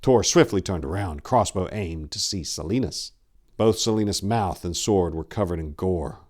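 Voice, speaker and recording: A man narrating dark fantasy horror as a dramatic reading, with a grave, intense, theatrical delivery. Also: deep voice, normal speed.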